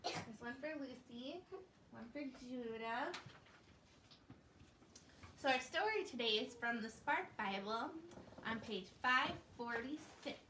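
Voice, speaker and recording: High-pitched woman telling stories to children, echoey. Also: animated, slow, long pauses. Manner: simple, encouraging talk addressed to small children. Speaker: children's puppet show host and storyteller. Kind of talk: scripted children's storytelling. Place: living room, indoors. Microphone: distant camera. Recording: echoey, noisy room, distant camera microphone.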